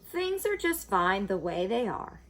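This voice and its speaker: A woman's voice: medium pitch, very animated, unhurried, a bit bright. A woman voicing a mild, befuddled husband in a storybook who likes routine, hesitant and plain-spoken. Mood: puzzled.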